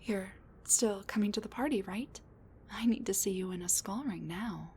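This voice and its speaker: A woman playing a sassy, rambling wounded supervillain with a soft side, talking intimately to the listener in a roleplay audio drama, with breathy asides and sighs. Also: medium pitch, normal speed, slight background noise, close to an everyday voice. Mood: playful flirting.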